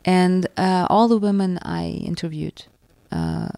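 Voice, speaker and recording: Interviewee: Russian-accented woman, low and gravelly, reflective, searches for words, dry close mic. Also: measured pace, mellow, no room sound. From Soviet Union. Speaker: author and interviewee. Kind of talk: thoughtful interview answers.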